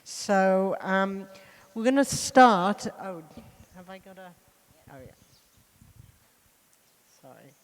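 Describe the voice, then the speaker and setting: A woman's voice: mid-range voice, takes it slowly, long pauses. Woman presenting in a very echoey hall. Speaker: panel speaker, art biennale co-director. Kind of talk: informal spoken presentation to an audience. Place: large reverberant hall, on a stage. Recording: echoey hall with long reverb.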